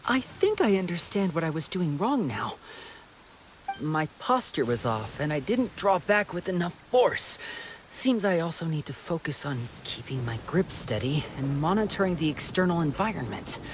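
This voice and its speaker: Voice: medium pitch, big pitch swings, moderate pace, a little hiss or hum, close to an everyday voice. An actor playing an earnest young trainee archer in a video game, enthusiastic and studious in manner. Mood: eager, encouraged. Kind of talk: scripted video game character acting.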